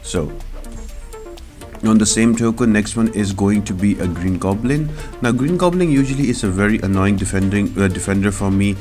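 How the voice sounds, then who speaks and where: A man's voice: on the low side, moderate pace. A man giving an explanatory, instructional game walkthrough as the host of a gaming video. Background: loud music behind the voice.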